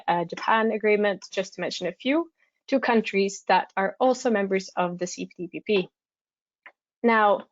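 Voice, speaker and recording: A woman with a slightly hoarse voice from a cold, giving a formal, prepared webinar presentation. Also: medium pitch, no room sound. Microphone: online webinar call. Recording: dry, dull webinar audio.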